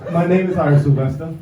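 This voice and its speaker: English comedian in an echoey club. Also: male voice, high voice. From England. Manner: dry, self-mocking delivery with asides. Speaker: stand-up comedian. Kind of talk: stand-up set. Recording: roomy, distant, slightly dull club recording. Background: audience laughter.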